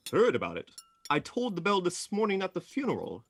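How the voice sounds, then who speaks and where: A man's voice: high voice, huge pitch swings, fairly quick, exaggerated voice. A man playing a cheeky, self-important village postman in a radio play, folksy, garrulous and cheerful. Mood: cheerful, boastful.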